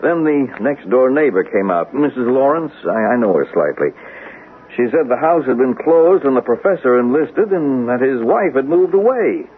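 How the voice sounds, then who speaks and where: An actor playing a puzzled, agitated and increasingly suspicious husband in an old-time radio mystery drama. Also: male voice, medium pitch, big pitch swings, moderate pace, lightly acted. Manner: agitated, hesitant. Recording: tinny, noisy old radio recording.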